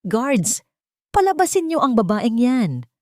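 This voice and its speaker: A woman's voice: fairly high pitch, big pitch swings, measured pace, a little mellow, dry recording, studio quality, pitched above the performer's own voice. A woman voicing a scheming, wealthy young fiancée in an audio drama, urgent and commanding as she panics.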